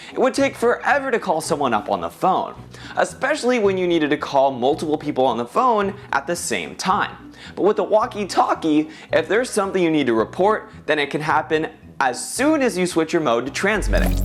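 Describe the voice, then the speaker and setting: A young man with an American accent narrates a scripted explainer. His delivery is upbeat and swings widely in pitch, with some music playing behind him. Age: young adult. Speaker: science video presenter. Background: background music.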